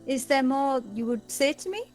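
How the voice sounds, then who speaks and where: A woman's voice: sits high, animated, moderate pace, a little mellow. A woman voicing a haughty, scornful villainess mother from a fantasy game, formal and cold. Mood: haughty, bitter. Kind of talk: reading fantasy game dialogue aloud. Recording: game music behind the voice.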